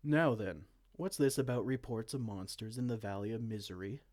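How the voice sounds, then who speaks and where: A man playing a vain, silly, soft-hearted evil king in a comedic fantasy roleplay, pompous and theatrical but slipping into casual dorkiness. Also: on the low side, normal speed, natural voice. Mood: vain, sheepish. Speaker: audio roleplay performer.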